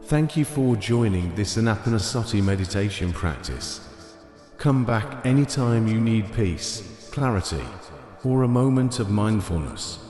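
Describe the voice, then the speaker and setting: A man narrating a scripted guided meditation in a gentle, unhurried manner, with short phrases spaced apart. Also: lowish voice, expressive. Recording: echoey long reverb over ambient music. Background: soft ambient music.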